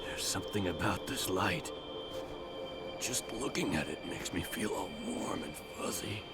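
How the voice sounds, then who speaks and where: A man playing a brash, greedy, excitable martial artist in a video game cutscene, with a gravelly voice. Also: deep voice, unhurried, loud music behind the voice. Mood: dreamy.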